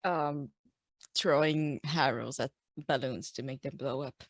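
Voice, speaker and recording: A woman's voice: rumbling low, measured pace, dry recording, clean studio sound. A woman chatting casually as a player in a tabletop game. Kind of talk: casual chat.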